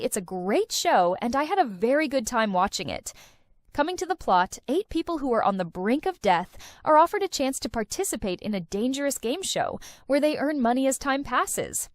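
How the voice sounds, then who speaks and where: A woman's voice: a little high, dry recording. A woman narrating a countdown of film and series recommendations in an enthusiastic, recommending tone. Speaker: video host. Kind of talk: scripted list-video narration.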